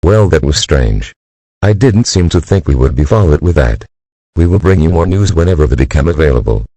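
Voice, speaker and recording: A man's voice: a bass voice, expressive, fairly quick, mellow, breathy edge, dry recording, heavily acted, low-quality recording. A man playing an uneasy TV news anchor delivering a scripted newscast about a disaster. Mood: uneasy, reporting.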